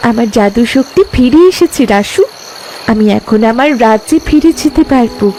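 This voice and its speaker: A woman voicing a gentle young fairy princess in a cartoon tale, speaking in a formal, tender and earnest way. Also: on the high side, normal speed, a little hiss or hum, natural voice. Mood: wistful, polite. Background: music.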